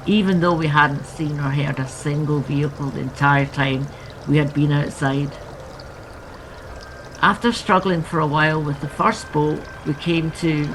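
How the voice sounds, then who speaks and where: A woman's voice: low voice, background noise. A woman author reading her own book aloud as scripted memoir narration, with ambient sound behind her.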